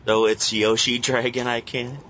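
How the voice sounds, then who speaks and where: Young male co-host, middling pitch, casual chatting, muffled recording with slight background noise. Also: level tone, measured pace, fairly bright tone. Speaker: podcast co-host. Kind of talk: casual group banter. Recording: dull lo-fi voice chat, faint noise.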